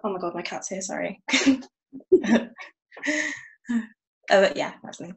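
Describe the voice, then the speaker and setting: A woman's voice: mid-range voice, takes it slowly, vocal fry, rough recording. A woman, introducing herself casually and ramblingly as a panel guest. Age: 20s. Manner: informal, rambling.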